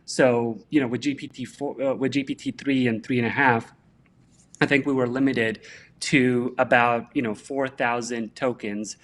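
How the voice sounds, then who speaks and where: A man's voice: medium pitch, fairly even intonation, normal speed, a little hiss or hum. A man from Bosnia speaks as an interview guest in a mid-range voice. He explains technical ideas steadily and conversationally, in a friendly tone. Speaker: guest, tech director.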